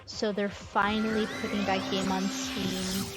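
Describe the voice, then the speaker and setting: A woman's voice: mid-range voice, flat delivery, measured pace. A woman, chatting informally as she commentates on a game livestream. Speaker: game streamer. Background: video game music.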